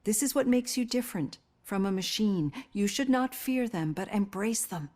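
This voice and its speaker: Woman acting caring therapist, medium pitch, measured, earnest, articulate, composed, video game drama. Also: expressive, warm tone, natural voice. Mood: earnest, reassuring. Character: composed, caring female psychologist evaluating a cyborg officer. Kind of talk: acted video game dialogue.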